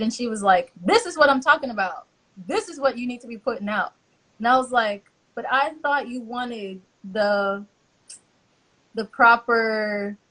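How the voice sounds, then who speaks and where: A woman hosting a casual live-stream chat, telling animated stories and acting out quotes. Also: fairly high pitch, low-quality recording. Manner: lively storyteller who acts out quotes. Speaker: host, church social media helper. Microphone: live-stream call.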